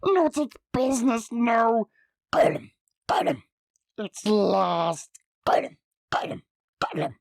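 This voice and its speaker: A man's voice: very high-pitched, expressive, slow pace, creaky, pauses often, no echo, studio quality, pitched above the performer's own voice, full character voice. A man voicing a slimy, greedy, ancient male creature that hisses and mutters to itself. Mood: wailing, frantic. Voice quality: hissing, whispery. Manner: wheedling, muttering to himself.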